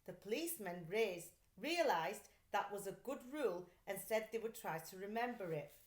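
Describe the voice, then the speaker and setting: A woman reading a children's story aloud like a teacher, with deliberate, clear phrasing and expression. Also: mid-range voice, expressive. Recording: echoey room.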